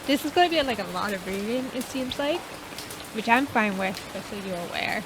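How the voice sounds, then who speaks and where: A woman's voice: fairly high pitch, animated, fairly quick, background noise. A woman hosting a casual game playthrough, informal in her commentary and stumbling a little as she reads text aloud. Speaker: gaming video host. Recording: prominent game soundtrack with rain. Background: loud game music and rain.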